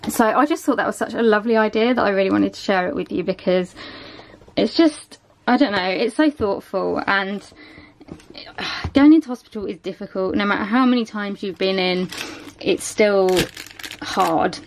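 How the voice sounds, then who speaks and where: A woman, chatting casually to camera at home and showing items, with lots of ums and a voice that is going a bit hoarse. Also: mid-range voice, normal speed, a little hiss or hum, poor audio. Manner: chatty, many ums, shows items one by one. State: losing her voice a bit. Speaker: vlogger. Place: small room at home, indoors.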